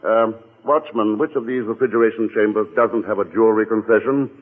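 An actor with an American accent playing a cool, wisecracking, money-minded hero in a 1940s radio adventure. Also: male voice, fairly low pitch, fairly even intonation, fairly quick, close to an everyday voice. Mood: coolly sardonic. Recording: tinny, band-limited old radio recording.

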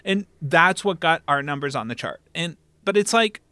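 Male interviewer, slightly high voice, close dry sound, conversational and animated, working thoughts out as he talks. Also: normal speed, clean tone, no echo. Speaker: podcast interviewer and show founder.

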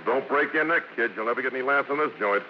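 A man's voice: medium pitch, fast talker, very noisy, close to an everyday voice. An actor playing a breezy, wisecracking vaudevillian father in a 1950s radio drama, with jaunty, slangy banter. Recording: dull, lo-fi vintage radio recording with music.

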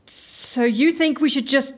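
An actress playing a serious, worried office colleague in an audio drama, pressing and sceptical in manner. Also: female voice, high voice, measured pace. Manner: earnest, pressing.

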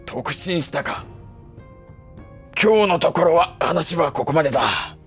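An actor playing a cold, middle-aged samurai patriarch in a period audio drama, speaking in formal, commanding lordly phrases. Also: male voice, on the high side, expressive, a little slow, a bit bright, dull audio, soft background music.

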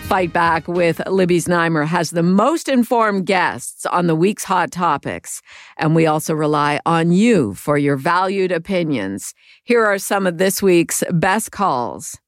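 A woman reads radio links in a crisp, measured way. Faint music sits beneath her voice. The studio sound is very dry. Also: on the low side, animated, dry recording. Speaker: radio host.

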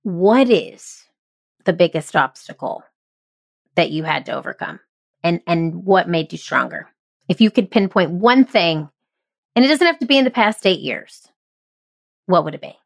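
A woman's voice: lowish voice, lively intonation, moderate pace, creaky, long pauses, no echo, studio quality. A woman hosting a podcast who interviews her guest in a bubbly, encouraging, playful way. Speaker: podcast host.

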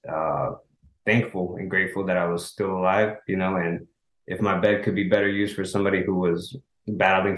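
Casting note: young man, very low voice, earnest and halting, originally from El Salvador, roomy echo. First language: Spanish. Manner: earnest, halting with restarts and small laughs. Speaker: guest, author. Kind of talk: casual interview.

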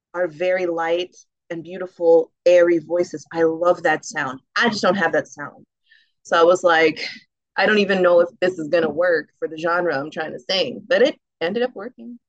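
A woman with a low, rich, round alto voice talks casually as an interview guest. She is animated and laughs easily, with a dry, slightly muffled sound. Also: moderate pace, no echo. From United States. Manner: animated, laughs often, fillers like "um" and "like".

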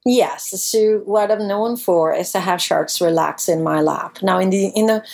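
A woman in her forties from Italy, speaking, answering interview questions in an animated storytelling way with occasional fillers. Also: medium pitch, unhurried, vocal fry. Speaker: guest, professional shark diver.

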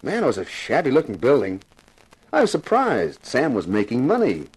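Wry radio detective, lively intonation. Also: male voice, mid-range voice, moderate pace, slightly rough, low-quality recording. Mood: wry narrating. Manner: wry, glib. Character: wry, cocky TV actor playing amateur detective. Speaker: lead and narrator. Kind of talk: 1950s radio detective drama, narration and dialogue.

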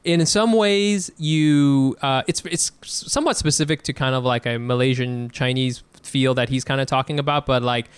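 A man's voice: medium pitch, normal speed, few pauses, clear, resonant tone, no echo. A man co-hosting a casual comedy chat, telling stories animatedly and laughing often. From Vietnamese heritage.

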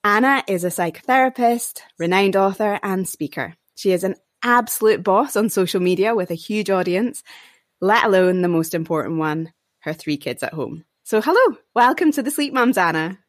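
A woman's voice: medium pitch, animated, moderate pace, no room sound, studio quality. A woman co-hosting a parenting podcast, introducing the show with playful jokes and then interviewing a guest. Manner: playful, jokey. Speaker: podcast co-host.

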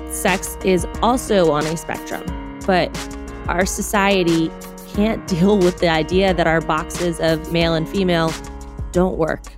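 A woman narrating a video news report in a scripted, earnest, explanatory way. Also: fairly low pitch. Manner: earnest, measured explanatory delivery.

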